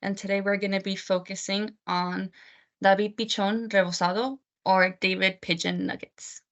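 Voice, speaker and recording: A woman's voice: mid-range voice, little pitch movement, normal speed. A woman from Texas reading a scripted book presentation over a video call. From United States (Texas). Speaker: book selection editor. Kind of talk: prepared book talk presentation.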